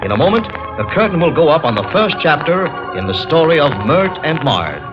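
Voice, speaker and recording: A man's voice: on the high side, sing-song, fairly quick. A man narrating as a vintage radio announcer, setting the scene theatrically. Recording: muffled vintage radio, music behind.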